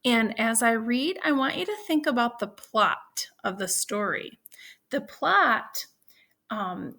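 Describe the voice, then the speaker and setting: Slow, bright-voiced woman teacher reading aloud. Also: a little high. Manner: deliberate, instructional, addressing young children. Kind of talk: read-aloud story lesson for children.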